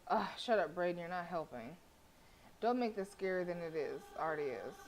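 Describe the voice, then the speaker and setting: A woman's voice: on the low side, expressive, brisk, slight background noise, rough recording. A woman streamer reading a horror visual novel aloud, breaking off into joking, swearing asides. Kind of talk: reading game text aloud with asides to chat.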